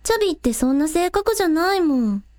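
A woman's voice: very high voice, very animated, fast talker, darkish tone. A woman playing a plucky, practical young village woman in an amateur radio drama, talking casually and matter-of-factly. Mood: pragmatic, resigned.

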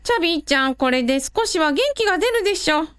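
A woman plays a caring, shrewd mother in a radio drama. She has a high voice and a sensible way of talking, recorded dry and close. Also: animated, fast talker, a bit bright, no echo. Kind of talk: Japanese radio drama acting.

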